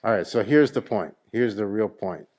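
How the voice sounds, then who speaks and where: A man's voice: fairly low pitch, lively intonation, moderate pace, noisy background. A man leading a spiritual satsang in a room with an audience, probing his listeners with questions and stressing his points on a handheld mic. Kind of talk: interactive spiritual teaching with a group. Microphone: handheld microphone.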